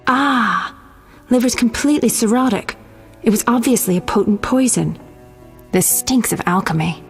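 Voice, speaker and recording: A woman's voice: a little high, moderate pace, faint music behind, natural voice. A woman voicing a confident, professional medic in a video game, clinical and matter-of-fact. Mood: analytical. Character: brisk, confident young female medic, proud professional. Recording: long hall-like reverb.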